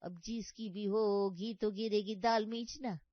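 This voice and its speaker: A woman's voice: medium pitch, steady tone, measured pace, dry recording, muffled recording, lightly acted. A woman voicing a cheeky, scheming housemaid in a comic story, with slangy, ungrammatical, wheedling talk. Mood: scheming, coaxing. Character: cheeky, scheming housemaid who talks back. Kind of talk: comic cartoon story voice acting.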